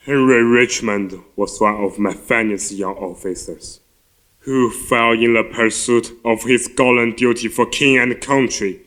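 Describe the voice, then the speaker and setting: A man's voice: medium pitch, fairly even intonation, a little slow, fairly bright tone, close to an everyday voice, poor audio. A young male student playing a pompous, indignant military officer in an amateur stage play. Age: teenager.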